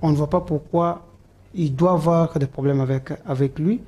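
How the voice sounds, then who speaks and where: A man's voice: a little high, steady tone, moderate pace, dark tone. A man answering interview questions, hesitant and full of "euh" fillers and restarts. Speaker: political supporter interviewed as guest.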